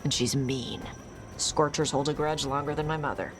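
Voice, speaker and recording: An actress playing a blunt, guarded young dragon hunter in a video game, speaking in terse, casual phrases. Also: female voice, low-pitched, fairly even intonation, moderate pace, noisy background, lightly acted. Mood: focused, blunt. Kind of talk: video game cutscene acting. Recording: music behind the voice.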